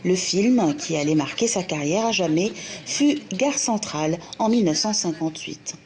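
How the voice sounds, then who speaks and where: A woman reporter reading a scripted documentary segment in a measured, formal way. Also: on the low side, animated, darkish tone.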